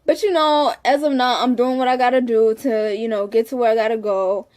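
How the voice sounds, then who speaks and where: A woman's voice: fairly high pitch, fairly even intonation, fairly quick, darkish tone. A young woman in casual, candid talk to the camera, rambling with frequent "you know"s. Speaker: vlogger.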